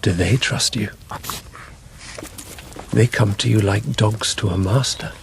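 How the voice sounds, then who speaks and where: An actor playing a dreamy, curious emperor in a radio drama, speaking in a measured, stately, wondering way. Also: male voice, on the low side, vocal fry, slight background noise. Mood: hushed, wary.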